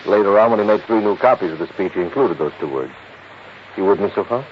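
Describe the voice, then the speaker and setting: Actor as low-voiced worried American insurance man, old radio. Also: expressive, lightly acted. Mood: emphatic explaining. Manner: emphatic, deliberate explaining with prodding questions. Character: worried, pessimistic male insurance executive, emphatic explainer. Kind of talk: 1950s radio drama acting. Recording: dull, hissy 1950s radio recording.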